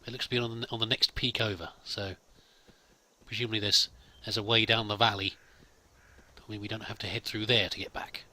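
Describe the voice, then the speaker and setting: A man's voice: fairly low pitch, level tone, brisk, pushed and bright. A man musing aloud as he plays, improvising in-character commentary and talking to his companion as a let's play host.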